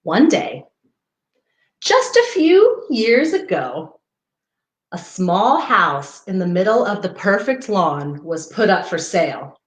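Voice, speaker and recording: A woman reads a picture book aloud for children in an echoey room. Her delivery is unhurried and very animated, swinging up and down in pitch as she speaks directly to her young listeners. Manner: expressive, pausing to point out pictures to young listeners. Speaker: parks foundation outreach coordinator hosting story time. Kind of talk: read-aloud children's story time. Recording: roomy, echoey livestream sound.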